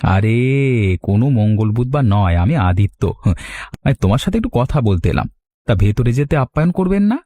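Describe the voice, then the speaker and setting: An actor playing a sly, boastful village man with a husky voice and a swaggering, cajoling manner in an audio story. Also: medium pitch, very animated, moderate pace, mellow. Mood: sly, menacing. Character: sly, boastful village husband, a menacing night rogue.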